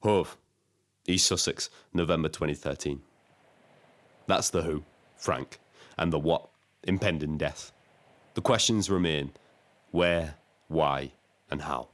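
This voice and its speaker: A very deep male voice plays a lonely, resigned city cabbie. He narrates in a measured, brooding way, with literary phrasing, as in a scripted audio drama. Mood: wry, reflective. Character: lost, lonely male Brighton taxi driver, wryly resigned. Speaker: narrator and lead character.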